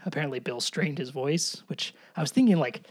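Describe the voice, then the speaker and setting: A man's voice: on the high side, a little fast, husky. A man co-hosting a podcast, playfully recapping episodes and cracking jokes in a casual chat. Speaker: podcast co-host.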